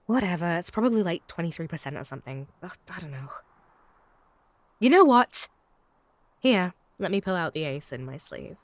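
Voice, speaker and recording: A woman's voice: on the low side, animated, normal speed, long pauses, no echo, close to an everyday voice. A woman playing a haughty, possessive, lovestruck vampire in a comedic audio roleplay, snide and theatrical. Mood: flustered. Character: possessive, lovestruck female vampire, bickering and flustered.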